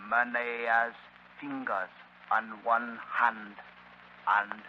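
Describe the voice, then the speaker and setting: An actor playing an old native mine worker, a solemn, loyal mystic speaking halting broken English in a 1930s radio drama. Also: male voice, mid-range voice, little pitch movement, close to an everyday voice. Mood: grave, urgent. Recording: muffled, noisy 1930s radio broadcast.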